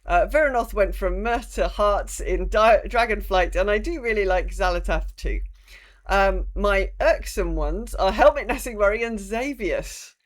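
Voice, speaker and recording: A woman co-host talks in a casual, conversational podcast style at a middling pitch. Her manner is wry and rambling, loose enough to slip into swearing. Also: unhurried. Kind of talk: casual chat about a video game.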